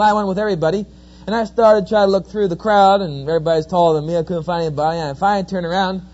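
A middle-aged man with a New Jersey accent, giving a sermon-style talk full of folksy, joking stories. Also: sits high. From New Jersey, USA. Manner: folksy, joking, lively storyteller. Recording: dull lo-fi recording.

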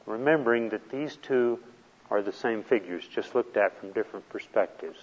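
A man's voice: lowish voice, normal speed. Man lecturing on a dull old hall recording. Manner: plain, patient explaining, step by step. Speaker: retirement planning instructor. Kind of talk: classroom lecture.

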